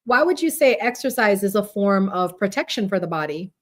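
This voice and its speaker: A woman from Guyana hosts a relaxed online panel talk. Her voice sits at a medium pitch, and she speaks conversationally, as someone running a live group chat. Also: a little fast. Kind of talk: casual live group discussion.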